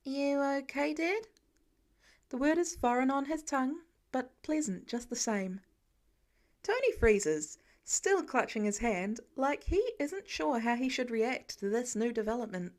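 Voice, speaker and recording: A woman's voice: a little high, sing-song, unhurried, darkish tone. A woman reading scripted fan fiction narration as an audiobook narrator.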